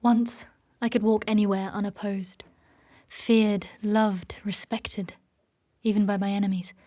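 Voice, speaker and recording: Fantasy audio drama lead: actress as soldierly female knight, guarded and proud, formal clipped phrasing, mid-pitched. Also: little pitch movement, warm tone, dry recording, close to an everyday voice. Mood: solemn, proud. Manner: formal, clipped, commanding, measured diction. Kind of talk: dark fantasy audio drama acting and narration.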